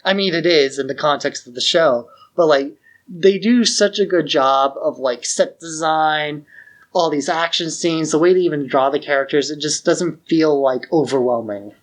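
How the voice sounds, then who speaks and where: A man's voice: fairly high pitch, moderate pace. A man co-hosting a podcast, chatting about a show in an informal, blunt way. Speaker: podcast co-host. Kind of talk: casual review chat.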